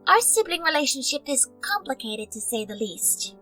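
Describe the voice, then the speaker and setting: A woman voicing a guarded, dry-witted, well-spoken young creature girl in an animated drama. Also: sits high, normal speed, soft background music, full character voice. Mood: wistful. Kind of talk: animated audio drama acting.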